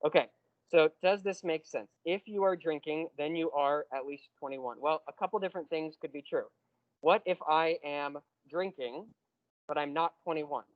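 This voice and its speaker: A man teaching a university math class, explaining step by step and slowing down on key terms. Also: on the high side, no room sound, narrow, tinny audio. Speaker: math lecturer. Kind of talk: classroom lecture.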